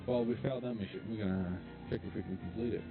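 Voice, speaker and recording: Guy doing cocky middle aged criminal dad in a game rp, creaky, sweary, music behind. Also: low voice, steady tone, fast, phone-quality sound, voice pushed down. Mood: irritated. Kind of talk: improvised comic game roleplay.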